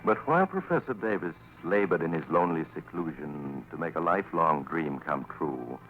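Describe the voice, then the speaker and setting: Creepy teasing radio storyteller, really deep, swooping voice, music behind, muffled old broadcast. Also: male voice, expressive, normal speed. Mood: suspenseful. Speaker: host and narrator. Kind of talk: 1940s radio suspense narration.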